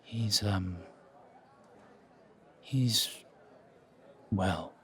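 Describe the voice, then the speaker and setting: A man playing a nervously polite, dutiful low-ranking driver in an audio roleplay, speaking hesitantly and with formal deference. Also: extremely slow, a bit bright. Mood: polite, reserved.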